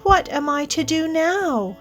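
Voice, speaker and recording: A woman's voice: extremely high pitch, very animated, takes it slowly, a bit bright, faint music behind, voice pushed up, heavily acted. A woman voicing a friendly, earnest young female unicorn in a storybook, talking carefully as if working through steps. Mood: worried.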